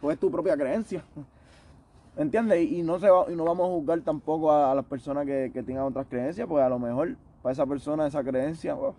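A man's voice: on the high side, fairly quick, mellow. A man in a casual conversational debate, insistently repeating his phrases as he makes his point.

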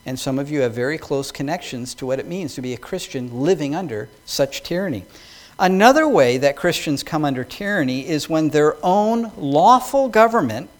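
A man preaching a sermon to his congregation, building emphasis as he reads scripture aloud. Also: on the high side. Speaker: pastor. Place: church hall with congregation.

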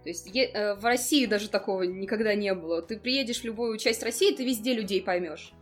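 A woman's voice: medium pitch, a little fast, faint music behind. A young woman in a casual conversation, explaining at length with lots of fillers. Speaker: interview guest.

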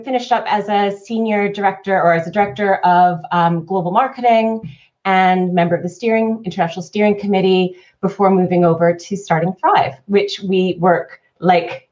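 Canadian woman on a lo-fi webinar line. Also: fairly low pitch. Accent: Canadian, slightly softened by years in the UK. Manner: fluent, animated, talks in long run-on explanations. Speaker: guest, marketing consultant. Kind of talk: conversational webinar interview. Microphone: video call. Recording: lo-fi webinar audio.

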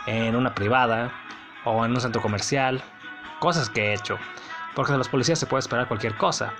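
A male podcast host with a Mexican accent and a mid-pitched voice full of gravelly creak. He tells his story casually and bluntly, wandering as he goes with a sardonic edge. Music plays clearly behind his voice.

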